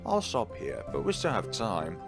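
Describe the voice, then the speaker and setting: Deep-voiced man narrating, with lively swings. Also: moderate pace. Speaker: video game playthrough host. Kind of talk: reading a visual novel aloud with commentary. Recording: music behind the voice. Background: game music.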